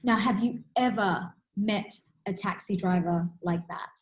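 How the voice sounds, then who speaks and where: A woman's voice: mid-range voice, unhurried, rough recording. A woman giving a keynote talk, telling stories in an earnest, emphatic way. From Australia. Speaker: keynote speaker.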